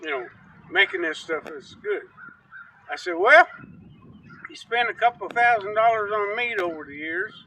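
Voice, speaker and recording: Guy spinning yarns, high voice that jumps all over, kinda far from the mic by the river. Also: normal speed, vocal fry, long pauses, slight background noise. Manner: rambling, folksy, animated storyteller. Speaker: storytelling uncle, guest. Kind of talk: casual storytelling chat. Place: outdoors on a riverbank.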